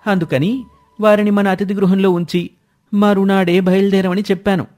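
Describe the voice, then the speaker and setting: A cartoon royal minister, acted out by a man, pitched high and officious. He talks down to people with a haughty, learned air, over music. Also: flat delivery, normal speed, a little mellow, lightly acted. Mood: deferential, smug. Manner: condescending, officious. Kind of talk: cartoon moral-story voice acting.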